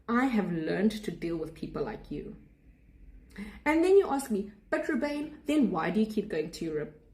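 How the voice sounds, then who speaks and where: A young woman with a South African accent talks straight to her viewers in a blunt, sarcastic rant about her time studying abroad. Her pitch sits in a middle range, and she stays indignant and direct, jabbing with rhetorical questions. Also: darkish tone. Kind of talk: direct-to-camera vlog rant.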